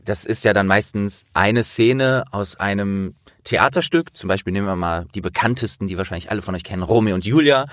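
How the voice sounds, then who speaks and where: Low-voiced man hosting a casual interview. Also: fairly quick, hardly any pauses, fairly bright tone, no echo, clean studio sound. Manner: explanatory, addressing listeners. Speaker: interviewer and host.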